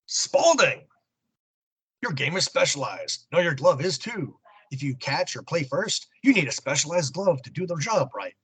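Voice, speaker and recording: A middle-aged American man co-hosting a podcast, chatting casually in a halting, wry way. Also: on the high side, big pitch swings, a bit bright, breathy edge, rough recording. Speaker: podcast co-host. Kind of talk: casual chat about old comics.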